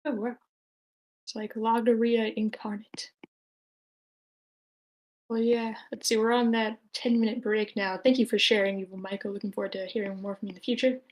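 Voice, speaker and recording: A woman's voice: mid-range voice, little pitch movement, moderate pace, pauses often, darkish tone, rough recording. A woman hosting an online script meeting, talking informally with a wry, rambling manner and sometimes reading out written comments. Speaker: host moderating an online script table read. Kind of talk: casual meeting moderation, reading out chat comments. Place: online live meeting room.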